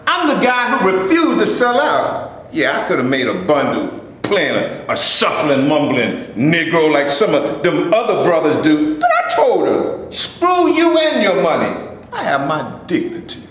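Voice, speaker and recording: A man reading a proud, defiant actor who argues with emphatic conviction. His voice sits high and swings widely in pitch. It is heard from a distance in an echoey hall with faint noise behind it. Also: huge pitch swings, a little slow, fairly bright tone, exaggerated voice. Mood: indignant. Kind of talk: amateur stage reading. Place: stage before a live audience.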